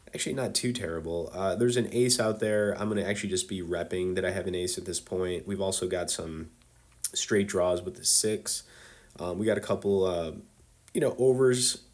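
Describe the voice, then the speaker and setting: Casual low-voiced man with a cold. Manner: loose and conversational, many fillers, chummy asides to viewers. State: has a cold. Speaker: poker video host. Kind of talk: casual hand-review commentary.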